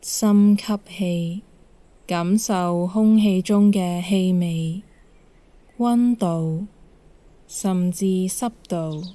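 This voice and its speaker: A woman leads a guided meditation as an instructor, calm and measured, giving gentle instructions with pauses. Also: lowish voice, no room sound.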